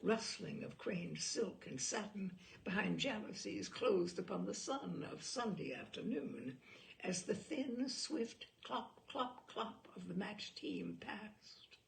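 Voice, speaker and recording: A woman narrating a literary short story aloud in an expressive, measured way, with dramatic phrasing. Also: mid-range voice, vocal fry, a little hiss or hum.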